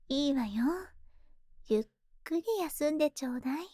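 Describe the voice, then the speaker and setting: A woman voicing a lovesick, obsessively devoted female spider monster in an ASMR voice drama, speaking in soft, intimate, doting tones. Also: high-pitched, no room sound, studio quality. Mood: gentle, soothing. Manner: slow, intimate, doting feminine speech. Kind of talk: Japanese ASMR situation voice drama.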